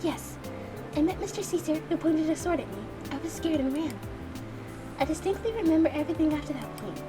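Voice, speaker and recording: A woman's voice: sits high, animated, brisk, over music, pitched above the performer's own voice. A woman voicing a timid, polite young woman in a visual novel game, earnest, anxious and hesitant. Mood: meek, polite. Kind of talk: voiced visual novel game dialogue read aloud.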